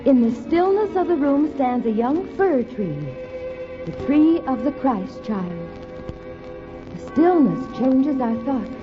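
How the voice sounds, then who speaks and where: A woman's voice: high voice, very animated, slow pace. A woman giving sentimental scripted narration in 1950s radio style. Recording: dull old radio recording, music behind.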